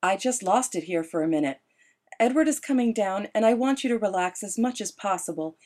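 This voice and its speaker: A woman's voice: a little high, animated, a little slow, a little mellow, voice pushed up. A woman reading as a sweet, motherly middle-aged woman with a honeyed, coaxing way of talking. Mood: soothing. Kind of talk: audiobook character dialogue.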